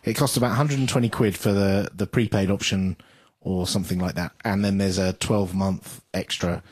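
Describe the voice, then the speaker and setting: A man's voice: low-pitched, steady tone, moderate pace, a little mellow, breathy edge, no room sound. A man co-hosting a podcast in casual, chatty banter about news, with a dry, teasing sense of humour. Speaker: podcast co-host.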